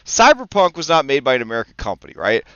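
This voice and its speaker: Excitable male host from Italy, crisp close voice, slightly lo-fi, casual and profane. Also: mid-range voice, fast, dry recording. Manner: excitable, swears casually, many restarts. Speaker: podcast host. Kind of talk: casual chat about tabletop games.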